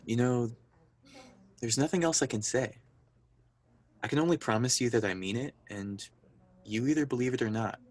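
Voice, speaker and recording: A young man acting in an intimate radio drama as an earnest, hesitant lover, halting and understated. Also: mid-range voice, a little mellow, lightly acted. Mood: earnest, subdued. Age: young adult.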